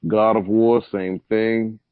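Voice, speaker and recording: Brief: lower-pitched guy, easygoing podcast co-host, dry tinny phone-like audio. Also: flat delivery, takes it slowly, clear, resonant tone, dry recording. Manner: loose, joking, lists ideas. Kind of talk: casual chat.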